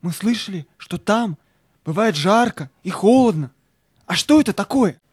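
An actor playing an earnest little boy inventor waiting to be born in a children's audio play, in a husky voice. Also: medium pitch, expressive, normal speed, no echo, studio quality. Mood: curious.

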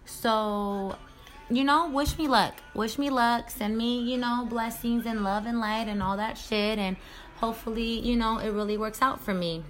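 A woman's voice: a little high, steady tone, a little slow, faint music behind. A woman in her thirties talking candidly in a casual personal vlog, chatty and loose with slang and the odd swear word. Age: 30s. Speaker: vlogger.

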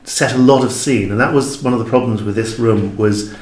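A man's voice: medium pitch, fairly quick, a little mellow. A man speaking as an interview guest, explaining his creative work in a reflective, emphatic way. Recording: echoey room.

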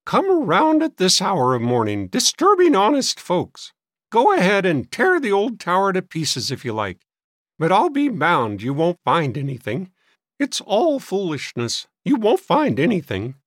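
A man voicing a sour, scornful old maiden sister in an audiobook, with a high, cracked voice. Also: huge pitch swings, measured pace, dry recording, studio quality, full character voice. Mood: grudging, scolding. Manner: sniffing, scornful, scolding. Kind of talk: audiobook character voice.

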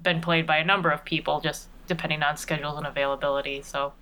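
Bright, clear-voiced woman chatting casually. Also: low-pitched, level tone, fast, rough recording. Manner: chatty, playful. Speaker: podcast regular and voice performer being interviewed. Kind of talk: casual interview chat.

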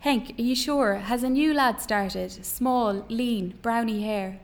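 A woman's voice: on the high side, slow pace. A woman reading aloud from a novel as a defensive, curt young Irish man who works as a labourer. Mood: probing.

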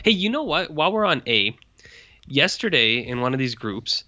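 A man's voice: mid-range voice, very animated, moderate pace, pushed and bright, no room sound, dull audio. A man with a Canadian accent co-hosting a podcast in casual, rambling chat, teasing and asking questions. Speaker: podcast co-host.

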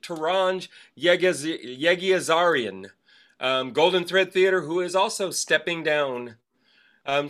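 A man co-hosting a theater podcast, reading off birthdays in casual, animated chat with some swearing and trailing fillers. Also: fairly high pitch. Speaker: podcast co-host.

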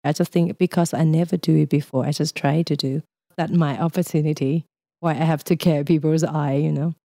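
A woman's voice: deep voice, fairly even intonation, fairly quick, mellow, no room sound. A young Thai woman with a Thai accent, speaking English as a second language in a casual, chatty interview and laughing often. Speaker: guest.

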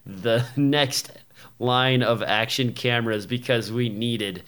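A man's voice: mid-range voice, takes it slowly, fairly bright tone, clear, resonant tone. A man hosting a casual tech podcast, talking loosely with lots of fillers. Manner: rambling, many fillers, laughs easily. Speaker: podcast host.

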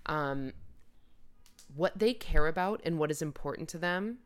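A podcast co-host trading wry, joking banter, with the odd swear word thrown in. She speaks in a notably low, smooth woman's voice. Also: lively intonation, measured pace, faint music behind. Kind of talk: casual podcast chat.

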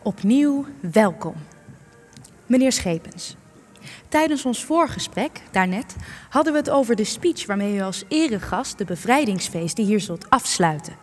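A woman's voice: mid-range voice, expressive, a little slow, natural voice. A young actress playing a courteous, formal female interviewer in a radio play. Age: young adult. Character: polite young female interviewer, embarrassed by her colleague. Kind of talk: Dutch-language radio play acting. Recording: long echoing hall, music underneath.

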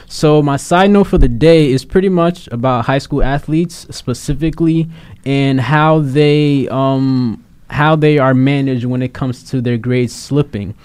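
Relaxed young man hosting a talk show. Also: medium pitch, unhurried, smooth. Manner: relaxed, rambling with fillers and restarts. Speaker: podcast host. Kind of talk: casual discussion show hosting.

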